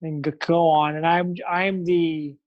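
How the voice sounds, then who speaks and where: A man's voice: on the high side, little pitch movement, unhurried, dull audio. A man, chatting casually on a podcast in an easygoing, rambling, self-amused way. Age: 30s. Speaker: podcast co-host. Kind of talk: casual chat.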